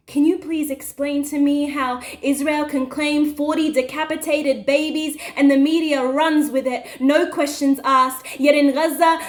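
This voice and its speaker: A woman performing spoken word poetry in an impassioned, accusatory manner with deliberate, dramatic delivery. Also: high voice, fairly bright tone. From Western Australia.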